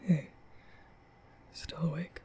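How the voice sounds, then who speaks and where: A man playing a nervous, caring young vampire in an ASMR roleplay, speaking softly and hesitantly with stammers in a breathy whisper. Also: slow pace, close to an everyday voice. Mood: nervous, gentle. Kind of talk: ASMR roleplay, soft comforting boyfriend audio.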